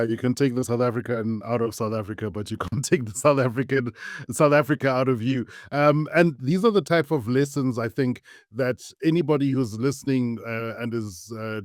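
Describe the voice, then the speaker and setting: A man hosting a podcast, chatting in a relaxed way and laughing easily. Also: medium pitch, no room sound, clean studio sound. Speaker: podcast host. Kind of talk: casual podcast chat.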